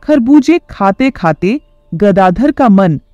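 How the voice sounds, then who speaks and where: A narrator reading a children's moral story in an animated, lively voice. The tone is warm and mellow, and the pace is unhurried. Soft music plays underneath. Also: medium pitch, no echo, dull audio.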